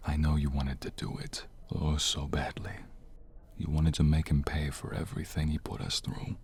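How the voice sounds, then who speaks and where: A man playing a tender, weary young mobster, a devoted and remorseful boyfriend, in a soft, intimate ASMR romance roleplay. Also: heavily acted. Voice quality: very deep, husky, breathy. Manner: soft, slow, intimate, sighing.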